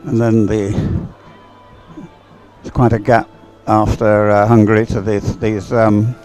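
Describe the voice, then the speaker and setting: A man giving live, unscripted sports commentary in a halting way, thinking aloud as he watches. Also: on the low side, little pitch movement, darkish tone, faint music behind, rough recording.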